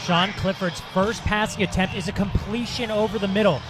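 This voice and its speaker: An American man with a fairly high voice gives color commentary on a football game. He analyzes in a conversational, opinionated way. Music is clearly audible underneath. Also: fairly even intonation, a little fast. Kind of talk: live football analysis between plays.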